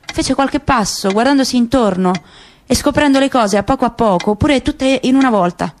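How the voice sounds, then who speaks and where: Woman narrating slowly with long pauses. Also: fairly high pitch, slight background noise. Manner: slow, lingering, dramatic pauses. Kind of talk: literary reading for radio.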